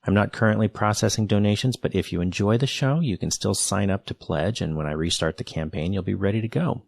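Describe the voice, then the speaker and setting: Podcast host guy, really low voice with lots of fry, earnest and keen. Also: fairly quick, no echo. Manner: earnest, enthusiastic, hesitant with restarts. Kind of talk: read intro then casual interview chat.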